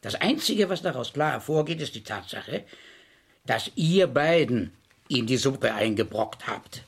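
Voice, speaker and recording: A stern middle-aged father in a vintage radio crime play. His intonation swings widely and his voice has a slightly husky grain. He sounds dry, authoritative and reproving. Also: medium pitch, expressive, measured pace, full character voice. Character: stern, dry older father of two, police investigator. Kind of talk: German radio crime drama acting.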